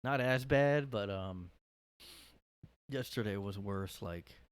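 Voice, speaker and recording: A man's voice: on the low side, slow, clear, resonant tone. Rambling man talking alone, fillers. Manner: rambling, hesitant, many fillers. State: worn out after a cold. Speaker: podcast host. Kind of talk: casual solo monologue. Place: his own room.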